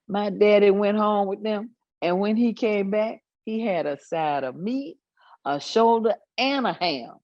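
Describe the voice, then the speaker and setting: A woman's voice: mid-range voice, slow pace, darkish tone, no room sound, lo-fi sound, lightly acted. An actress performing an elderly church-going woman's recollections in a staged reading, folksy and full of small asides. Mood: folksy, amused. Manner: folksy storytelling with hummed asides and chuckles.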